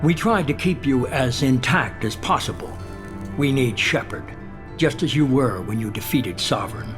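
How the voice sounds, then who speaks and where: Male actor as calculating shadowy sci-fi leader: composed, measured authority, persuasive, score playing underneath. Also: medium pitch, lively intonation, natural voice. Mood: controlled. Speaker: video game character. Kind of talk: video game voice acting. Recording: loud background music. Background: game music.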